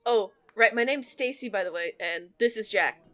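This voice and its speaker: A woman's voice: mid-range voice, moderate pace, a bit bright, lightly acted. A woman playing a friendly, teasing young female convention-goer, speaking casually in a fan comic dub.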